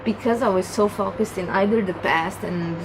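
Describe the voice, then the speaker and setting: A young woman from Romania with a Romanian accent, chatting through a casual book review in halting English with many restarts. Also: mid-range voice. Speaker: book vlogger. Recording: clear background hum.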